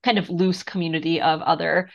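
A woman in her thirties from Moldova, a guest author talking thoughtfully in an interview with soft laughs and fillers. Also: low-pitched, level tone, brisk, gravelly. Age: 30s. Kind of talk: casual interview conversation. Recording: muffled lo-fi sound.

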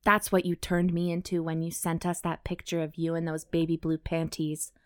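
A woman's voice: lowish voice, flat delivery, normal speed, smooth, no room sound, studio quality, lightly acted. Woman reading as commanding, lustful male romance lover. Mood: lustful, frustrated. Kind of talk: audiobook character dialogue.

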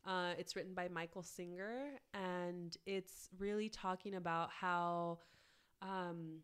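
A woman guest on a podcast, talking casually with lots of "like" fillers, her voice raspy from a cold. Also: on the low side, fairly even intonation, takes it slowly, a bit bright. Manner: chatty, many "like" fillers. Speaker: guest, plant-based cookbook author.

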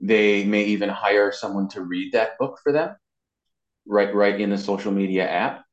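A man's voice: fairly low pitch, steady tone, normal speed. A man speaking conversationally as an interview guest, explaining social media marketing practice. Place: indoors. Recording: muffled, band-limited, roomy echo.

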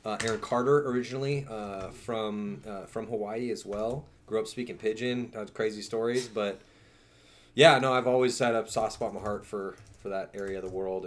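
A man's voice: on the low side, a little fast, slight background noise. A man talking casually as a guest on a talk show, slangy and emphatic. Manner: slangy, emphatic, mild swearing. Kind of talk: casual chat.

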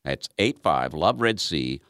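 A man's voice: a bass voice, big pitch swings, slow pace, a bit bright, dry recording, clean studio sound. A man hosting a radio interview, chatty and folksy, with plenty of fillers. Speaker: radio show host. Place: radio studio. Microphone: studio microphone.

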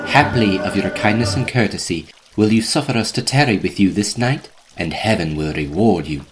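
Casting: male actor as low-voiced royal vizier, courtly, careful, smooth-talking, over prominent music. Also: low-quality recording. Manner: formal, archaic, courteous. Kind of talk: audio drama acting of an old tale. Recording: loud music behind the voice.

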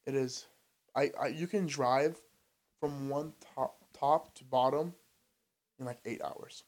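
A young man from Albania chats loosely as a podcast guest. His talk is relaxed and full of "like" and "bro". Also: mid-range voice, slow pace. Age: young adult. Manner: loose and chatty, says "bro" and "like" a lot. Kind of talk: casual chat.

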